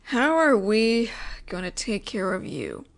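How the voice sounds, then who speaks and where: A woman's voice: mid-range voice, very animated, slow pace, vocal fry, heavily acted. A woman playing an exasperated but apologetic mafia boss in a roleplay audio drama, with a wry, sighing, slangy way of talking. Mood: wry, explaining.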